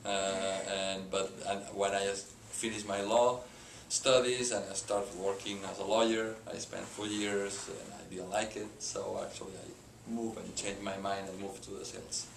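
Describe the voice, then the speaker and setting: A middle-aged man from Spain with a Spanish accent, a company president answering interview questions haltingly with many filler sounds and restarts. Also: fairly low pitch, little pitch movement, gravelly. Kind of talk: hesitant unscripted interview answers. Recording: echoey room with background hiss.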